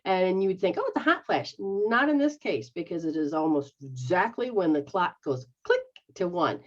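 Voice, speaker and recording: A woman's voice: on the low side, big pitch swings, normal speed. Chatty middle-aged woman on a dull line. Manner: rambling, explains step by step, checks understanding with "okay?". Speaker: metaphysical practitioner host. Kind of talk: casual instructional chat.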